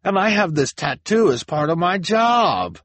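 Guy doing a panicky accused man begging, high strained voice. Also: sing-song, measured pace, a bit bright, dry recording, exaggerated voice. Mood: frantic. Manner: frantic and pleading. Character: frightened accused man pleading innocence.

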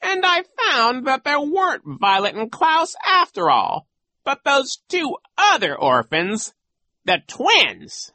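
Woman doing a sly, high-pitched villainous gym coach man. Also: very animated, sharp tone, dry recording, full character voice. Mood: dramatic, aggrieved. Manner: drawn-out, theatrical storytelling. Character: sly villainous male gym coach, falsely regretful, smugly cruel.

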